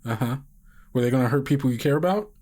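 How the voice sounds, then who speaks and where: A man voicing a gruff, protective, streetwise father who swears freely and goads in slang in a tabletop roleplay. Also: mid-range voice, fast talker, darkish tone, lightly acted. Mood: fierce, urging. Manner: profane, slangy, goading, emphatic.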